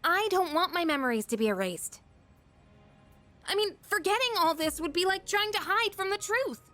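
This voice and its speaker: A woman's voice: very high-pitched, expressive, moderate pace, bright, forceful tone, soft background music, heavily acted. An actress playing an earnest, distressed but resolute teenage girl in a video game, with urgent delivery. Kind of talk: English video game voice acting.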